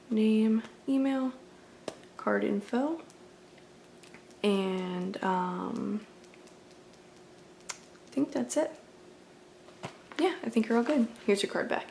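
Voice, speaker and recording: A woman's voice: mid-range voice, very slow, creaky, long pauses, darkish tone, a little hiss or hum. A woman doing an ASMR roleplay as a friendly, accommodating video game store clerk, chatting in a casual, polite and apologetic way. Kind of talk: ASMR roleplay, casual customer-service chat.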